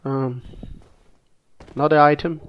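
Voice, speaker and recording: A man with a cold casually commenting on his own gameplay, relaxed and halting, coughing now and then. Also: a little high. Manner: relaxed, halting, frequent restarts and fillers. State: sick, coughing.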